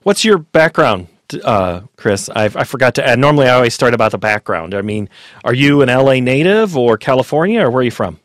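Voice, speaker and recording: A man's voice: mid-range voice, big pitch swings, a little fast, no echo. Male host, conversational and rambling, dry close recording. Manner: rambling, conversational, with repeated words. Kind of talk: interview questions.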